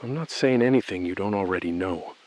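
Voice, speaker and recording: A cool, calculating bank insider, played by a man for an audio thriller. He speaks in precise, measured phrases with a careful evasiveness. The sound is dry and close. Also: low voice, a little mellow, no echo, natural voice, poor audio. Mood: coolly knowing. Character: calm, calculating male bank insider, coolly complicit. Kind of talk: scripted audio thriller acting.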